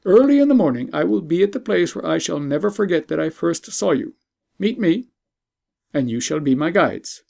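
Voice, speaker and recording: A man's voice: on the high side, big pitch swings, moderate pace, vocal fry, mellow, pitched above the performer's own voice, heavily acted. A man voicing a gracious, courteous emperor in a stately, formal storybook manner. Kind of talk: audiobook storytelling.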